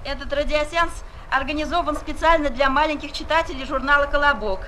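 A woman puts questions to a guest over the radio for young readers. Her voice is high and sharply bright, with a light hiss of noise behind it. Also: a little fast. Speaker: interviewer for a children's magazine. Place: radio studio. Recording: faint noise of a radio session.

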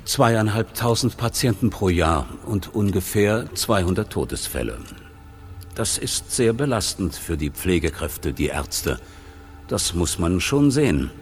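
Serious principled doctor in radio drama interview, deep voice, lots of inflection, emphatic, music behind. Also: male voice, sing-song, a little slow, exaggerated voice. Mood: earnest, critical. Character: earnest, principled male hospital doctor being interviewed.

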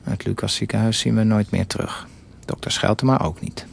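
A man's voice: lowish voice, brisk, gravelly, slightly rough, full character voice. A man playing a blunt, bitter husband of a dying wife, narrating in clipped, crude sentences in a confessional radio drama. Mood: bitter anger. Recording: music behind the voice.

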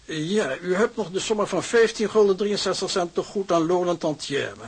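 High-voiced, husky, fussy clerk. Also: male voice, expressive, normal speed, exaggerated voice. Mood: officious. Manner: formal, bureaucratic, hesitant. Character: fussy, pedantic male bookkeeping clerk. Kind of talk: Dutch radio drama acting.

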